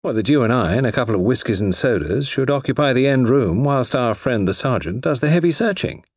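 Man playing a breezy gentleman writer with swooping pitch. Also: animated, brisk, husky, dry recording, clean studio sound. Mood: wry. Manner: urbane, casual, chatty. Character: nonchalant gentleman writer, breezy, wheedling, self-assured. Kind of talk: audiobook character voice.

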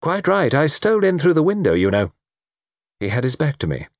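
A man voicing a suave young foreign gentleman in an audiobook, theatrical, easy and mockingly defiant. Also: a little high, sing-song, a little fast, no echo, clean studio sound, voice pushed up. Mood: casual confession. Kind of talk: audiobook character dialogue.

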